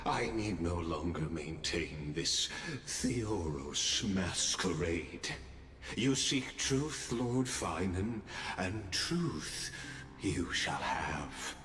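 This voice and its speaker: An actor playing a calm, scheming male oracle in a game cutscene, with measured, grandiose delivery. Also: deep voice, crowd noise, full character voice. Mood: solemn. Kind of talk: voiced game cutscene dialogue.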